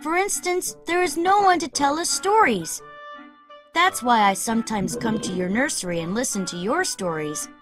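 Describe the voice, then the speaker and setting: A woman voicing a cocky, excitable young boy who never grows up in an animated children's story, boastful and blunt. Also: a little high, moderate pace, over music, close to an everyday voice. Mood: wistful, eager.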